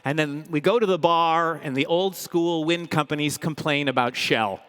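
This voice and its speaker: A man with a fairly high voice presents live on stage in a large, reverberant hall. He is an upbeat comedian host, energetic and playful. His delivery is humorous, full of tongue-in-cheek boasting. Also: normal speed, clear, resonant tone. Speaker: comedian hosting a corporate event. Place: large indoor event hall, live audience. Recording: echoey big hall, long reverb.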